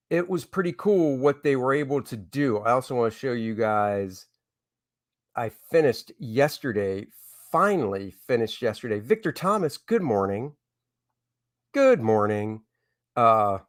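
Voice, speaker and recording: A man's voice: medium pitch, no room sound, studio quality. A man hosting an art livestream, chatting casually to his viewers in an easygoing, rambling way. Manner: easygoing, rambling, reads and answers chat. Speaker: comic artist hosting a livestream.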